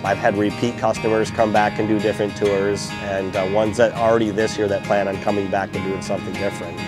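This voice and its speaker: A man in his forties from Lower Michigan, talking in a relaxed, plain way while guiding a tour on a small boat outdoors. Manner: relaxed, plain-spoken, with fillers. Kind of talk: casual interview, guiding a tour. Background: music.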